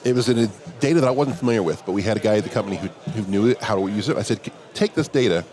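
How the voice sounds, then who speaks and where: Man, big low voice, relaxed anecdotes, conference hallway. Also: rapid-fire. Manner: relaxed anecdotal telling, quoting others in dialogue. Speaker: interview guest, security professional. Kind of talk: casual storytelling in a conference hallway chat. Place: outdoors at a conference venue.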